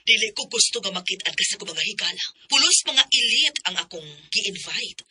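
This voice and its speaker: A woman's voice: mid-range voice, lively intonation, fairly quick, sharp tone, a little hiss or hum. An actress playing a cold, snobbish middle-aged society mother-in-law in a radio drama. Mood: cold, disdainful.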